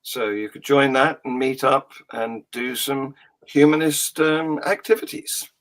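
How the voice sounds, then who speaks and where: A man hosting a live-streamed talk show, brisk, plugging links and adding quick remarks. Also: medium pitch, fairly even intonation, a bit bright. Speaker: show host.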